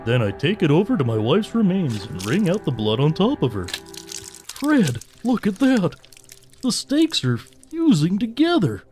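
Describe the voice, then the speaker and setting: A man's voice: sits high, huge pitch swings, unhurried, darkish tone, faint music behind, full character voice. A man voicing a vengeful, devoted talking bull in a melodramatic horror comedy audio drama. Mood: eager.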